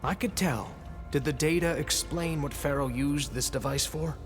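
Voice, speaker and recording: An actor playing a grandiose leader in a video game who believes he is a reborn ancestor, speaking in a pompous, theatrical, deliberate way. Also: a little high, close to an everyday voice. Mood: curious. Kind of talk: video game cutscene acting. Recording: long hall reverb, music behind.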